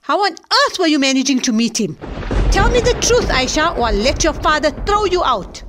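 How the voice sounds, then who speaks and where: A scolding, exasperated mother played by a woman in a radio drama. Her voice is high and swings widely in pitch as she lectures. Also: very animated, moderate pace, background noise. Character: stern scolding middle-aged mother. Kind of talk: audio drama acting.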